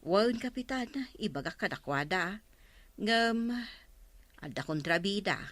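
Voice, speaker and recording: A woman's voice: mid-range voice, animated, measured pace, a bit bright. A woman with an Ilocano accent playing a frank, scolding village woman in a radio comedy drama. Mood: informing.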